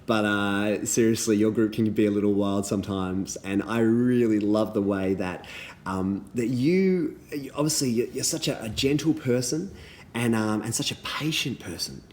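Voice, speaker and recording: Man, deep voice, a bit hoarse; earnest, halting thank-yous full of ums. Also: slight background noise. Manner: warm, earnest encouragement with many ums and restarts. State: slightly hoarse, losing his voice. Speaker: youth ministry leader. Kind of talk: recorded personal thank-you messages.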